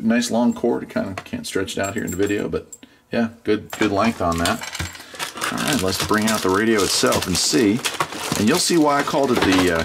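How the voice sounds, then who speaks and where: A man's voice: fairly low pitch, a little hiss or hum. A middle-aged man chatting casually through a product unboxing in a laid-back, folksy way. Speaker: product reviewer, channel host.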